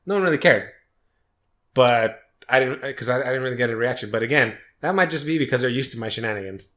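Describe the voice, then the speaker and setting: A young man co-hosting a podcast, chatting casually and telling rambling stories with animated energy. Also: mid-range voice, fast. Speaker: podcast co-host. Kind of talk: casual chat.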